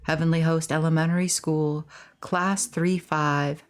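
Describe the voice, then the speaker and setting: A woman's voice: sits low, fairly even intonation, measured pace. A woman game streamer reading game text aloud and commenting as she plays.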